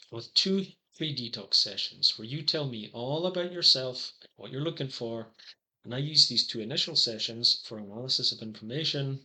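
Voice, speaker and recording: A middle-aged man with a Scottish accent and a very bright, ringing voice, pitched in the middle range. He lays out his services in a scripted pitch, slipping dry jokes between earnest points. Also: low-quality recording. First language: English. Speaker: psychology consultant. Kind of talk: scripted promotional monologue.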